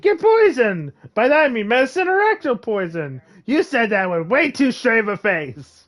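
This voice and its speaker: Animated, high-voiced woman reacting to a game. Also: normal speed. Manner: amused, playful, incredulous asides. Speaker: game streamer. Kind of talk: casual reactive gameplay commentary.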